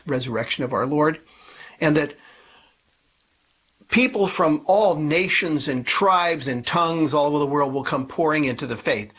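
A man's voice: on the high side, fairly bright tone, husky. A man on a podcast panel explaining religious ideas conversationally, building his points emphatically through repetition. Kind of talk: conversational religious teaching with scripture reading.

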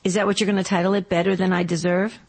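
A woman's voice: on the low side, little pitch movement, very fast, creaky, darkish tone, breathy edge. A woman with a slightly scratchy voice hosting a radio call-in show in a chatty, casual, effusive way.

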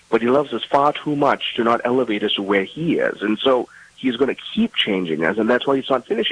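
A man's voice: medium pitch, slight background noise, poor audio. A man from Malaysia, a guest author on a radio interview, explaining his ideas earnestly with frequent restarts.

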